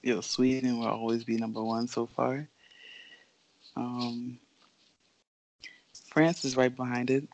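A woman's voice: extremely low pitch, flat delivery, takes it slowly, long pauses, a little mellow, a little hiss or hum, low-quality recording. A young woman, a professional basketball player from the Bahamas who grew up in Florida, chatting casually and easygoing as a podcast guest. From Bahamas, raised in Florida. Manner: laid-back, easygoing, laughs often.

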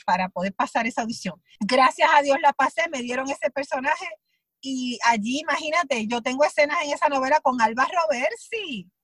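A Puerto Rican woman with a high voice tells her stories with animation. She slips into little acted quotes of her own thoughts. The sound is close and dry, with no room around it. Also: expressive, normal speed, fairly bright tone, no echo. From Puerto Rico. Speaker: actress, guest. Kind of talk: conversational interview.

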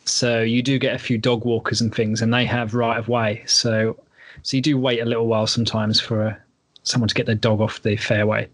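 A man's voice: medium pitch, flat delivery, brisk, dry recording. A man hosting a golf podcast in a casual, friendly chat with light teasing. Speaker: podcast host.

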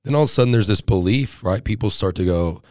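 A man with a deep voice talking casually and earnestly, restarting often and ending points with "right?". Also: expressive, fast talker, a little mellow, no echo, studio quality. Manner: earnest, many restarts, tags lines with right?. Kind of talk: casual chat.

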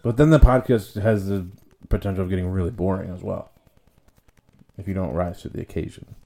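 A young man chatting on a casual podcast with friends. His voice sits a little low and sounds mellow and relaxed. He teases the others and laughs often. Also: brisk, creaky. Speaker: podcast co-host. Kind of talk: casual chat.

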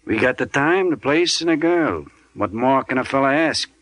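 An actor playing a skeptical, hard-boiled American hoodlum in an old-time radio drama, terse and distrustful. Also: male voice, medium pitch, expressive, moderate pace, heavy background noise, close to an everyday voice. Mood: cool, interested.

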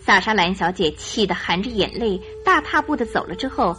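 Lady narrator for a radio drama, expressive storytelling, lively pitch, faint music around. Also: fairly quick.

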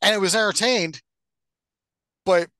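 Higher-voiced man in a casual podcast chat. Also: measured pace, bright, forceful tone, no room sound, studio quality. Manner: blunt, casually sweary. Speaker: podcast co-host. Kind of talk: casual opinionated film and game chat.

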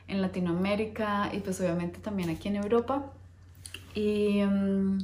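A woman's voice: mid-range voice, roomy sound. A woman in her thirties hosting a podcast, chatting casually about herself with frequent hesitations and little laughs. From Colombia. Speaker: podcast host. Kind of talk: casual personal talk.

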